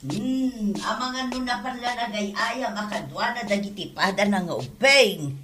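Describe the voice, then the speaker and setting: A woman's voice: medium pitch, unhurried, a bit bright, breathy edge. A woman playing a suspicious, fretful mother in a family comedy drama. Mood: worried.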